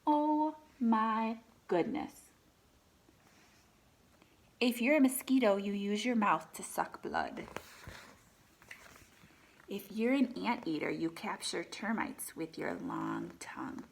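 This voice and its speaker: A woman reads a children's picture book aloud expressively, pausing for chatty asides and questions to her young listeners. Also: medium pitch, very animated, gravelly, a little hiss or hum.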